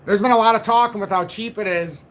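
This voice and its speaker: A man's voice: sits high, a little fast, a bit bright. A man in his forties hosting a casual crypto livestream, walking through charts and reading out viewer comments, often repeating himself. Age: 40s.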